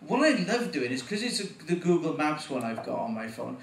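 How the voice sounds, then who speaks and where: A man with a Welsh accent performing a stand-up comedy set, getting animated at punchlines. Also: fairly quick, gravelly, recorded from a distance, slight background noise. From Wales. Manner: animated, voice jumps up in pitch at punchlines. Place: comedy club stage. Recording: echoey room, voice from afar.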